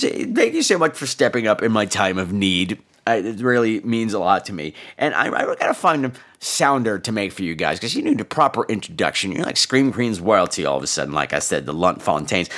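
A man's voice: mid-range voice, a bit bright. A man hosting a podcast while feverish with flu, chatting in a campy, teasing, theatrical way. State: feverish, ill with flu and laryngitis. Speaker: podcast host. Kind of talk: casual, rambling solo chat responding to callers.

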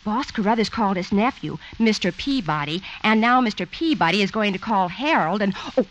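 A woman's voice: mid-range voice, animated, brisk, a bit bright, lightly acted, poor audio. A woman playing a chirpy, gossipy switchboard operator in a 1950s radio comedy. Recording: old radio recording with faint noise. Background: faint noise.

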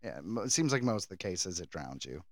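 A man's voice: deep voice, very animated, fairly quick, no room sound, studio quality. A man co-hosting a comedy podcast, bantering and teasing his co-host. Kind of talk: casual comedy podcast chat.

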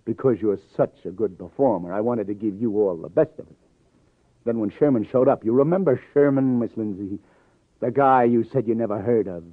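A man's voice: lowish voice, a little fast, dry recording, close to an everyday voice. An actor playing a wry, hard-boiled New police detective in a 1950s radio crime drama, with sardonic, clipped tough-guy delivery. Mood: sardonic, accusing. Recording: tinny old radio broadcast, faint music.

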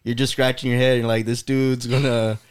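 A man's voice: mid-range voice, level tone, fairly quick, smooth. A man hosting a casual interview, asking questions and reacting eagerly. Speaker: podcast host.

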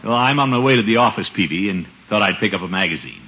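An actor playing a pompous, put-upon middle-aged uncle in a 1950s radio comedy, blustering and muttering asides. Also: male voice, fairly low pitch, lively intonation, quick pace, natural voice. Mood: glum. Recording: noisy, dull 1950s radio recording.

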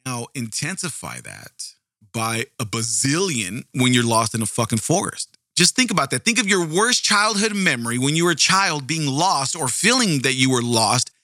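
A man with a booming, projecting voice, telling a story in a casual, animated and profane way, often repeating words. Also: moderate pace, no room sound. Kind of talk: casual true-crime storytelling.

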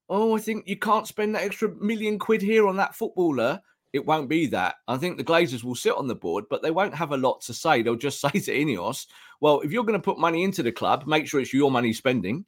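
A man with a mid-range voice talks through football business in an opinionated, earnest way, often restarting his sentences. His voice is wearing thin and slightly hoarse. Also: mid-range voice. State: losing his voice. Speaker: podcast co-host. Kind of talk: casual football fan discussion.

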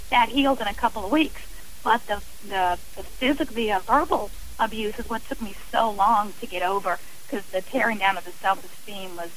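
A woman's voice: a little high, a bit bright, rough recording. A woman in her forties from New York City, talking conversationally as a guest in a radio interview. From New York City, United States. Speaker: interview guest, author and abuse survivor.

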